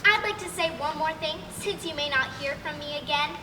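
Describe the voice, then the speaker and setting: A woman's voice: high-pitched, fairly quick, lightly acted. A young woman playing an earnest, assertive assistant in a stage comedy, with a precise, dramatic delivery. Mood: grave, earnest. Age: young adult. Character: earnest, assertive, overlooked scientist's assistant in radio play. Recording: echoey, noisy stage, distant mic.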